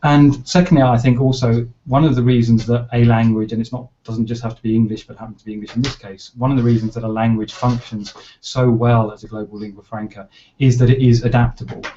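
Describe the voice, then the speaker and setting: A man's voice: medium pitch, flat delivery, fast, mellow, lo-fi sound, slight background noise. A man speaking on an academic panel, explaining his points articulately with some hesitations.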